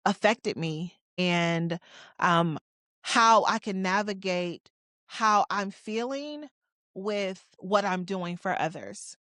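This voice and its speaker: A woman's voice: mid-range voice, fairly bright tone, no echo, clean studio sound. A woman of forty, hosting her own podcast and talking through her life in a candid, reflective, conversational way with casual slang. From Detroit, USA. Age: 40s. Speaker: podcast host. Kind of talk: personal solo podcast monologue.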